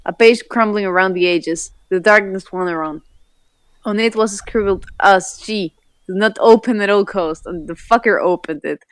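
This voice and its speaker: A woman's voice: medium pitch, moderate pace, smooth. Female co-reader, informal and giggly, trips over words, curses, mixes story reading with joking asides. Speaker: co-host reading fanfiction. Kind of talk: reading fanfiction aloud with casual joking commentary.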